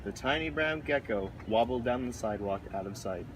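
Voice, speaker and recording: A man's voice: medium pitch, expressive, fairly quick. A man reading a children's picture book aloud, recorded outdoors. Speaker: storybook reader. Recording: noisy outdoor recording with traffic.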